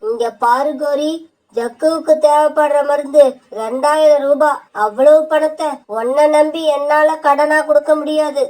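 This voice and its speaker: A woman plays a hard-hearted hawk doctor in a cartoon who turns patients away without money. The voice is very high and clipped. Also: steady tone, measured pace, a little mellow, poor audio. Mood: stern. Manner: curt, refusing. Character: stern hawk doctor, stingy.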